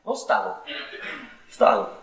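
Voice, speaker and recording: A man's voice: slow pace, fairly bright tone. An actor playing a humiliated, vengeful young man in a radio play, vehement and repetitive in his outrage. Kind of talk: theatrical radio play acting. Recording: echoey room, distant from mic.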